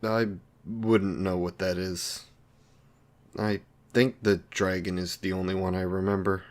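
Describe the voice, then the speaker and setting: Lonely villain for romance audio roleplay, male actor: lowish voice, unhurried delivery, halting and socially awkward, eager to please. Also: little pitch movement. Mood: wistful, awkward. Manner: halting, hesitant, awkward, apologetic.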